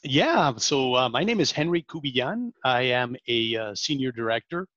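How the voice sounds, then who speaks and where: A man in his forties from Venezuela, a sales director talking as a podcast guest, halting often with ums and restarts. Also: mid-range voice, expressive, a bit bright, no room sound, muffled recording. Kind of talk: interview conversation.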